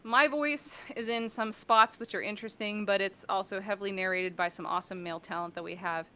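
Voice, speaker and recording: A woman's voice: medium pitch, fairly even intonation, brisk, bright, forceful tone, a little hiss or hum. A woman chatting casually as an interview guest, playful and rambling as she talks about her work. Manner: playful, rambling, uses silly coinages. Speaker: guest, voice actor and audio director.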